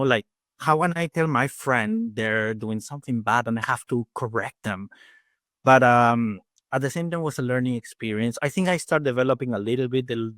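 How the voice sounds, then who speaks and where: A man in his forties from Peru with a Peruvian Spanish accent, Spanish being his first language, chatting as an interview guest, talking fast with many restarts and fillers. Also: medium pitch, a bit bright, no room sound. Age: 40s. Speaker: guest dentist and faculty. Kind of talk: casual interview chat.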